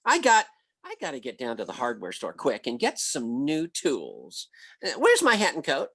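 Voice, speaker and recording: A man's voice: mid-range voice, huge pitch swings, normal speed, gravelly, fairly bright tone, husky, exaggerated voice. An American man playing a bumbling, self-mocking husband in old-time radio comedy, stammering and flustered.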